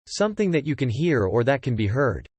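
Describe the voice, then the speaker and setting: A man's voice: mid-range voice, very animated, fairly quick, darkish tone, no echo. A man teaching English, carefully reading definitions and example sentences aloud. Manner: clear, careful model reading.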